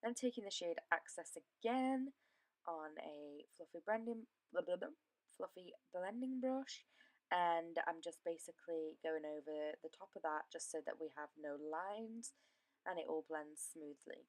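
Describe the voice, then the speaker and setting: Kinda hoarse lady talking thru her makeup steps, lowish voice, dry close sound. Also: expressive, measured pace, no room sound. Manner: casual, chatty, with frequent fillers. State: slightly hoarse from a sore throat. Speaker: beauty vlogger. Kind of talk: makeup tutorial voice-over.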